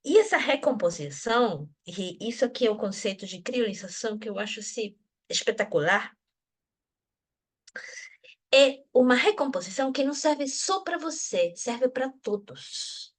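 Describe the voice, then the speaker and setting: A woman's voice: a little high, animated, moderate pace. A woman from Venezuela speaking in an academic discussion group, thoughtful, often restarting and adding tag questions. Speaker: discussion participant.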